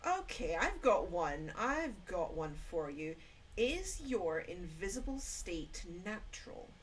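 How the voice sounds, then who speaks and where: A woman's voice: fairly low pitch, big pitch swings, slow, a little hiss or hum, poor audio. Hesitant woman streamer in character. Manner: hesitant, thinking aloud with many fillers. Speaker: streamer roleplaying as her character. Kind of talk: in-character roleplay chat while gaming.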